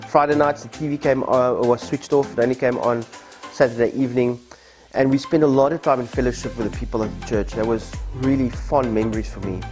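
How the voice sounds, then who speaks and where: A man's voice: medium pitch, a little fast, hardly any pauses. A South African man in his forties, a native Afrikaans speaker, telling his church testimony in a candid, conversational way with frequent fillers. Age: 40s. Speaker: church member giving a testimony. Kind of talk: personal testimony. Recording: soft music behind.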